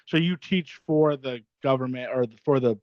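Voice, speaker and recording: A man's voice: mid-range voice, moderate pace, no echo. A man hosting a casual interview, asking informal, loosely phrased questions. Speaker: podcast host. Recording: dry, slightly dull lo-fi sound.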